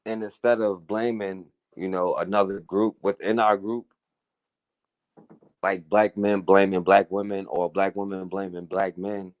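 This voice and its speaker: Low-voiced man giving an earnest monologue. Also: level tone, measured pace, dry recording. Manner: earnest, conversational, frequent "you know" and "right?". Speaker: talk radio host. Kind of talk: casual monologue commentary.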